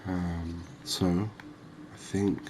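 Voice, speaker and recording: A soft-spoken man giving ASMR gameplay commentary, thinking aloud with pauses. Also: low voice, little pitch movement, slight background noise. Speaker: gaming video host.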